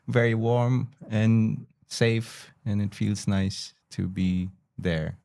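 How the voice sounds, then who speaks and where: Male podcast guest, low pitch, measured delivery with thoughtful hesitations, conversational and reflective. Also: little pitch movement, gravelly, mellow. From Bangladesh. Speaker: podcast guest, photographer, educator, researcher.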